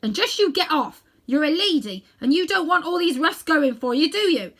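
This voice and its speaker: A woman's voice: high-pitched, animated, moderate pace, fairly bright tone, pitched above the performer's own voice. A woman voicing an earnest working-class London cabby in a storybook. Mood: coaxing. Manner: earnest, colloquial, coaxing.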